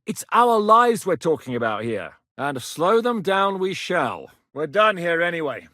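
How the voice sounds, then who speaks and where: A fierce, blunt warlord commander. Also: male voice, high-pitched, very animated, a little slow, sharp tone, dry recording, clean studio sound, exaggerated voice. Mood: commanding. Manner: commanding, blunt, swears in battle. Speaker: military commander character. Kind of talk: video game voice lines.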